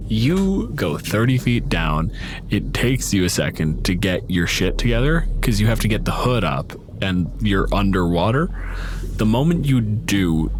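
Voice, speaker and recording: A man's voice: sits low, noisy background. A man working as game master, improvising vivid narration of a tabletop game in a casual way. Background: music.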